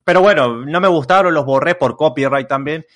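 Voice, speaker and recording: A man's voice: on the high side, a little fast, fairly bright tone. Young Argentine guy, creator being interviewed, loose rambling delivery full of fillers. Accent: Argentine Spanish. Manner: rambling, many fillers and restarts. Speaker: content creator guest. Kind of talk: casual interview chat.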